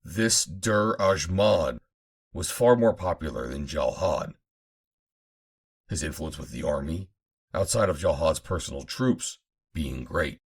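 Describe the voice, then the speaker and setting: A man reading an adventure novel aloud as an audiobook narrator, in a measured, formal storytelling manner. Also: very deep voice, lively intonation, husky. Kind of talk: dramatic scripted narration of an old adventure novel.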